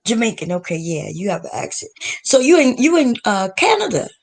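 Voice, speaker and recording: Chatty woman, highish voice, bouncy pitch, laughs a lot. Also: big pitch swings, gravelly. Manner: chatty, quick to react with laughs. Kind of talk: casual livestream chat.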